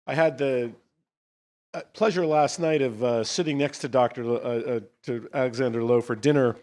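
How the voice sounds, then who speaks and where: Guy hosting a conference, mid-range voice, introducing next speaker kinda haltingly. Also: mid-range voice, darkish tone. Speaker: session host introducing a speaker.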